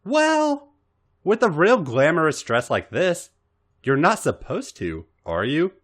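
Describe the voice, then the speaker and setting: A man's voice: fairly high pitch, sing-song, takes it slowly, voice pushed up, heavily acted. A man voicing a naive, earnest, bashful young woman in a story reading, with a hesitant, innocent manner.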